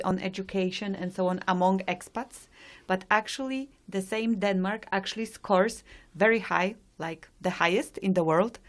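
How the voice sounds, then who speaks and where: Woman cohost reading out facts, asks true or false, easygoing. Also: medium pitch. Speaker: co-host. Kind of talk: presenting facts on a live show.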